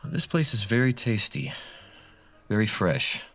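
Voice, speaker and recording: A man's voice: medium pitch, expressive, a little slow, gravelly, a bit bright, full character voice. An actor playing a chatty, awkwardly eager, faintly creepy man in a scripted horror audio drama.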